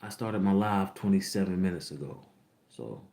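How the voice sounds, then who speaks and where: Young guy hosting a podcast, real low voice, rambly and candid, kinda echoey room. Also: moderate pace, creaky, dark tone. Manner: candid, rambling, repeats himself. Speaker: podcast host. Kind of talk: personal storytelling to a livestream audience.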